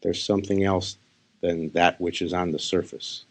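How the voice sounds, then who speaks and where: A man with a very low voice and a gravelly creak leads a guided meditation. He talks as a spiritual teacher, laying out short phrases without hurry and pausing between them. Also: little pitch movement. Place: live session.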